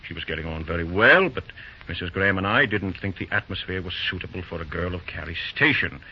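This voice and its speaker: A man's voice: low-pitched, slightly rough. An actor playing a hesitant, stammering middle-aged father in an old radio drama, on a muffled, faintly noisy recording. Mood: uneasy. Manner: halting, stammering, hemming and hawing. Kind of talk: 1940s radio drama acting.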